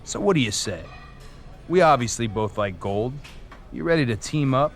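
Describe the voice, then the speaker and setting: An actor playing a competitive, self-assured wrestler with an assertive, earnest manner in a video game cutscene. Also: male voice, mid-range voice, animated, moderate pace, music in the background, close to an everyday voice. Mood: eager.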